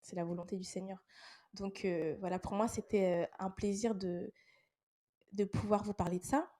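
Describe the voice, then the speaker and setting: A woman's voice: medium pitch, fairly even intonation, normal speed, warm tone. A young woman with a head cold hosts a casual faith talk podcast, speaking to her listeners in an earnest, encouraging way. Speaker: podcast host.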